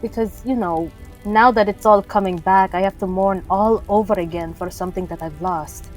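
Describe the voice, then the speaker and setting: Woman voicing shy guarded adventurer girl, hesitant, medium pitch, fantasy audio drama w/ music. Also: fairly even intonation, normal speed, close to an everyday voice, poor audio. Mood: uneasy, sad. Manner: hesitant, understated, searching for words. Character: reserved, vulnerable young woman adventurer with lost memories.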